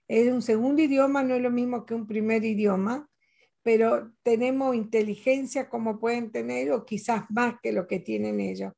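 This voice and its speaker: Older woman, from Latin America, gravelly voice, reflective casual talk. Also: on the high side, mellow. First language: Spanish. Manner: earnest, reflective, tells personal anecdotes. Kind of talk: casual conversation.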